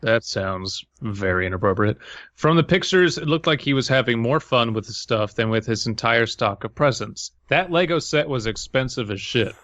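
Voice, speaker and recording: A man's voice: mid-range voice, lively intonation, normal speed, fairly bright tone, no room sound, highs cut off. A man co-hosting a podcast, chatting and critiquing a story casually. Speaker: podcast co-host. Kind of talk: casual commentary and banter.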